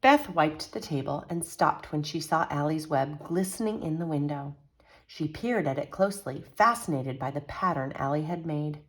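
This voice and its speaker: A woman reading a children's picture book aloud as a storyteller, expressive and teacherly. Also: deep voice, moderate pace.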